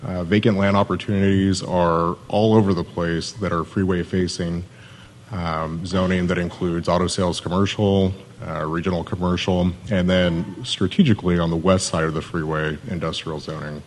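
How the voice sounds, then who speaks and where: A man from Southern California with a Californian American accent, giving a matter-of-fact, personable live presentation as a city development manager in a monotone voice. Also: low-pitched, normal speed, slight background noise.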